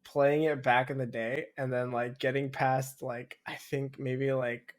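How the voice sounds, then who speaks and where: A young man co-hosting a podcast, talking casually and a little haltingly, with a teasing, chuckly manner. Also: mid-range voice, little pitch movement. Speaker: podcast co-host. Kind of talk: casual gamer chat.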